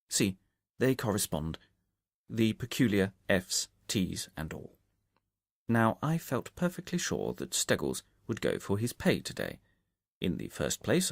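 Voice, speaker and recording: Man reading as a shrewd gentleman detective, low-voiced. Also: creaky, no echo, clean studio sound, heavily acted. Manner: precise, methodical, confident. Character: shrewd, self-assured gentleman detective explaining his methods.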